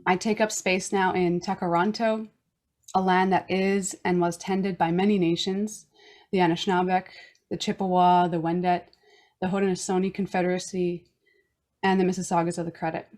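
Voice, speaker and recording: A woman from Newfoundland hosting a wellness podcast, reading a reflective personal statement in a slow, earnest way. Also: lowish voice, level tone, a little mellow. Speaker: podcast host. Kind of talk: reading a personal land acknowledgment and intro.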